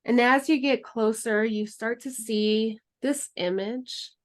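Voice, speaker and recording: A woman teaching an herbalism class in a relaxed, chatty way, then leading a guided meditation. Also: a little high, slow pace. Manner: relaxed, chatty, laughs easily.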